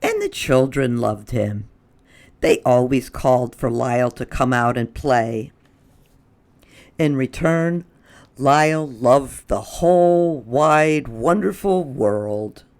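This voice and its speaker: A storyteller reading a children's book aloud in an expressive, deliberate way. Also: medium pitch. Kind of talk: children's read-aloud narration.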